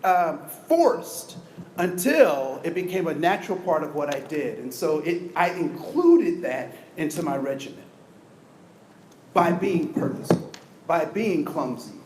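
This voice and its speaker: A man giving an energetic, interactive diversity training talk, asking the audience questions. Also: on the high side, a little slow, echoey room, slight background noise, rough recording. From United States.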